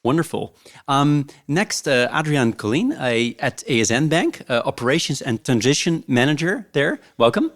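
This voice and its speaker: A man's voice: mid-range voice, big pitch swings, moderate pace. A man hosting a podcast interview, talking casually and hesitantly with many fillers. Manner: warm, hesitant, many ums. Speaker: podcast host.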